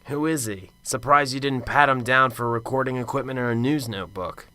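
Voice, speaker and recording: A man playing a cynical, sarcastic detective in a radio drama, gruff and impatient. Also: mid-range voice, brisk, bright, forceful tone, no echo, close to an everyday voice. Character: cynical sarcastic adult male Chicago detective. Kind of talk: amateur radio drama acting. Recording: radio drama with music underneath.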